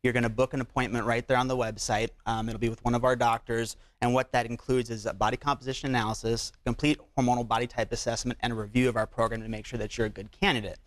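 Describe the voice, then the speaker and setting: Man, doctor guest, medium pitch, rapid pace, dry sound, conversational promotional explaining, frequent check-ins. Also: flat delivery, no echo. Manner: explanatory, uses rhetorical check-ins like "right?" and "okay?".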